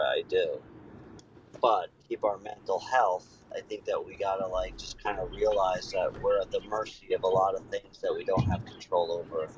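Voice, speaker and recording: A man on a phone call, calmly reassuring a friend and hedging with many fillers. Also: sits low. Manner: calm, reassuring, hesitant with many "like"s. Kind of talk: phone conversation. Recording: dull phone line with faint hiss.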